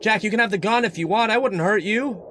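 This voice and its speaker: A man's voice: sits high, fast, pushed and bright, slight background noise. A man playing an anxious young man who calls out pleadingly to his partner in the snow, in an old-time radio drama. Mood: pleading, reassuring. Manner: pleading, calling out.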